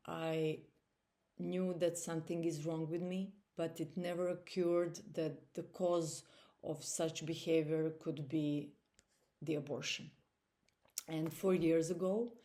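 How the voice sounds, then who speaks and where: A woman in her forties with a Croatian accent, giving a slow, earnest personal testimony with measured pauses. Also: sits low, warm tone. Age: 40s. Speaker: testimony speaker.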